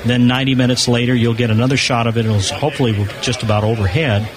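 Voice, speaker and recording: A man's voice: mid-range voice, fairly even intonation, fast talker, low-quality recording. Man explaining things over busy crowd noise. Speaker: amateur satellite enthusiast, show guest. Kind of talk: live interview, informal technical explanation. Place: convention floor booth. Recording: busy crowd noise, live radio broadcast. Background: crowd chatter.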